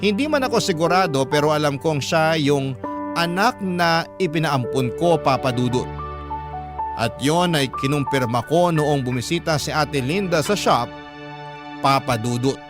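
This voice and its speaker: A man's voice: on the high side, normal speed. A man reading a dramatic first-person story in a solemn, sentimental way, as a radio storyteller. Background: prominent music bed.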